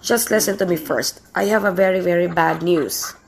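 A woman's voice: on the low side, normal speed, vocal fry, pitched below the performer's own voice. A woman plays a jealous snake in a homemade puppet show. The creature gloats and taunts in a drawl with hissing shushes, over faint background noise. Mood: insistent. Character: jealous, gloating snake spreading bad news.

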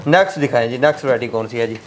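A man's voice: mid-range voice, racing, background noise. A young man with a Pakistani accent, hosting and interviewing on a product visit, repeating answers for viewers. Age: young adult. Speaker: host of a product video channel. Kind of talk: presenting and interviewing on a factory visit. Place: indoor room stacked with cardboard boxes. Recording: background music under the voice. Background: music.

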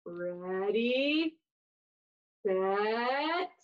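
A woman in her thirties reading a storybook aloud to young children with expressive, exaggerated delivery and dramatic pauses, in a small room. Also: a little high. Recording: dull, lo-fi webcam audio.

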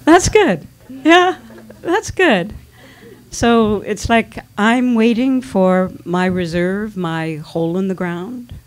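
A woman's voice: medium pitch, lively intonation, takes it slowly. A woman playing a vain, blunt, ailing mother, theatrical and dismissive, in a staged dramatic reading. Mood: wry. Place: small dimly lit stage venue. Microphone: microphone on a stand.

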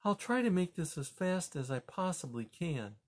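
A man reading a story aloud and voicing a small, caring female woodland animal with a chirpy, squeaky voice. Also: big pitch swings, moderate pace, exaggerated voice. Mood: earnest.

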